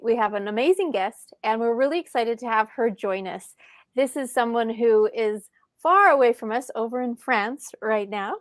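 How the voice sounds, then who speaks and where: A woman's voice: fairly high pitch, no echo. Upbeat woman podcast host, dry sound. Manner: upbeat, welcoming. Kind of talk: podcast interview.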